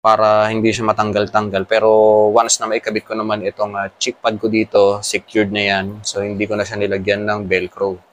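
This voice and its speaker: Male Filipino motovlogger, slightly deep voice, casual explanatory delivery for a how-to guide. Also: monotone, brisk, a bit bright, slight background noise. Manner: explanatory, mixing Tagalog with English terms. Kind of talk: casual hands-on tutorial.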